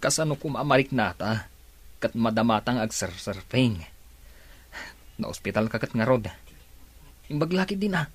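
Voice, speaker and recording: An actor playing an excitable, worried young man in a radio drama, with an Ilocano Filipino accent and an exclamatory delivery. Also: medium pitch, moderate pace, long pauses, slightly rough. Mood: relieved, teasing. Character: excitable, worried young male friend of an injured surfer.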